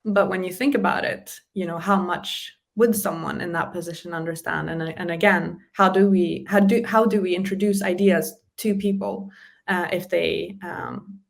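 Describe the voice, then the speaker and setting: A woman's voice: on the low side, steady tone, normal speed, gravelly. A woman, a communication specialist, chatting in an interview and explaining practical tips with small laughs between points. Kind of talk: casual interview chat giving practical tips.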